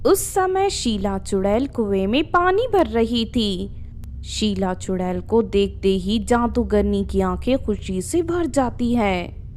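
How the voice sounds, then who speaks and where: A woman's voice: medium pitch, lively intonation, slow, a little mellow, slight background noise. A woman narrating a scripted children's story as its storyteller.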